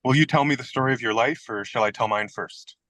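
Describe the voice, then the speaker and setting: Man playing young male writer, bright sharp tone, dry sound, self-deprecating, wordy, whimsical, radio play comedy. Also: medium pitch, fast talker, no room sound, natural voice. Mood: playful, courteous. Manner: wry, wordy, theatrical.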